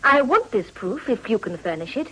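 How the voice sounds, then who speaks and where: A young upper-class wife in a period radio drama. Her voice is high and swings expressively as she speaks with formal, imperious resolve and devoted moral indignation. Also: very animated, moderate pace, a bit bright, slightly rough, soft background music, full character voice, rough recording. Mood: fervent. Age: young adult.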